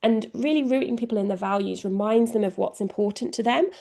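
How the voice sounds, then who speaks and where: A woman's voice: mid-range voice, fairly quick, creaky, mellow. A woman with an English accent giving an informal online presentation, chatty and self-deprecating, with many fillers. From England. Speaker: guest speaker, communications co-lead.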